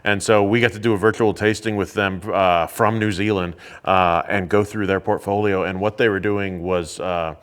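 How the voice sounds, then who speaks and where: A man who teaches about whiskey, explaining things in a relaxed, casual way with lots of fillers. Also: deep voice, moderate pace, hardly any pauses, clear, resonant tone. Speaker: whiskey educator. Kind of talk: casual chat. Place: indoors at a teaching academy.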